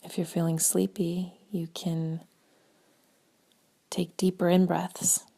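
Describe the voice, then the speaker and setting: A woman leading a guided meditation in a gentle, spaced-out way, her soft breathy voice often trailing toward a whisper. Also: lowish voice, level tone. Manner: slow, gentle, spaced-out phrasing with long pauses.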